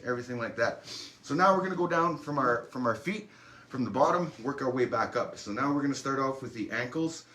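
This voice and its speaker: A man leading a warm-up and stretching class in a relaxed, encouraging, chatty way, adding small asides as he goes. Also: mid-range voice. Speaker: fitness instructor. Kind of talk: guided workout instruction.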